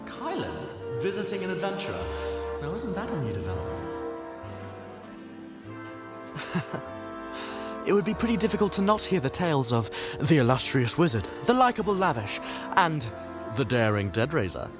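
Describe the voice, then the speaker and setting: Man playing a high, animated, teasing adventurer. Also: moderate pace, long pauses, loud music behind the voice. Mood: playful, boastful. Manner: teasing, flamboyant, chatty. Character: playful, theatrical, teasing male adventurer and mentor. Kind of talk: audio drama acting.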